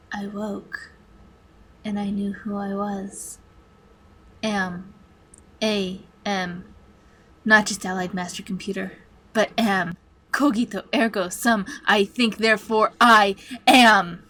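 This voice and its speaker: A woman's voice: medium pitch, steady tone, sharp tone, breathy edge, heavily acted. A woman playing a hateful, sadistic supercomputer in a video game monologue, taunting human captives with theatrical menace and drops into whispers. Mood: cold, grandiose. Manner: theatrical, menacing, drawn-out delivery dropping into whispers.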